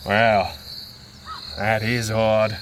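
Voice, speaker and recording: An actor playing a suave, unflappable masked crime-fighter in a pulp radio adventure, speaking in a dry, formal, articulate and gently teasing way. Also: male voice, on the low side, level tone, extremely slow, slight background noise. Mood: grave.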